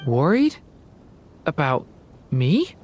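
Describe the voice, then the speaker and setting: A soft-spoken young dragon boy in a video game. The voice is boyish, a little low for a woman, and earnest, with hesitant phrasing that rises and falls widely. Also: very animated, very slow, faint music behind, exaggerated voice. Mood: tearful, moved. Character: gentle, timid, apologetic young dragon boy.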